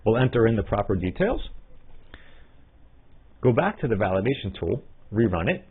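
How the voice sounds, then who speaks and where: A man with a fairly low voice narrates a software tutorial through a narrow, phone-like recording. His delivery is methodical and scripted, yet his intonation moves up and down a good deal as he explains each step. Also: sing-song, moderate pace, slightly rough. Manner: methodical, instructional. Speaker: software trainer. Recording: muffled, phone-like narrow-band audio.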